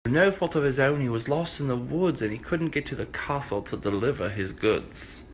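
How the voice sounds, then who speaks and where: Animated male fairytale storyteller. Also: mid-range voice, moderate pace, slight background noise. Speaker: storyteller, host. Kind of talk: playful rhyming fairytale narration.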